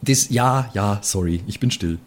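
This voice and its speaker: A man's voice: on the low side, measured pace. A man chatting casually as a player in an improvised tabletop role-playing game.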